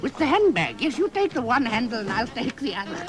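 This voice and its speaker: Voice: mid-range voice, big pitch swings, a little fast, over music, natural voice. An actor playing a chatty, dotty, old-fashioned lady with a South African accent, rambling and exclaiming in a radio comedy sketch. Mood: chatty, amazed.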